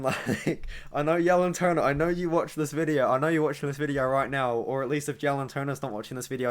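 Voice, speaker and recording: A young man with a New Zealand accent, hosting an MMA analysis channel and chatting through a fight prediction in a rambling, self-correcting way, with asides to viewers. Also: mid-range voice. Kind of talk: casual fight prediction monologue.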